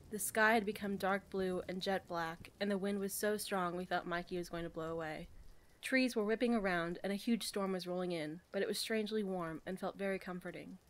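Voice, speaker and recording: A woman co-hosting a podcast, moving between casual chat and reading passages aloud. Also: medium pitch. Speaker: podcast co-host.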